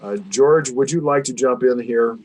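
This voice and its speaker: A man's voice: medium pitch, normal speed, a little mellow, a little hiss or hum. A man hosting a webinar discussion over a video call, speaking formally and precisely in a lecturing way. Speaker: webinar host.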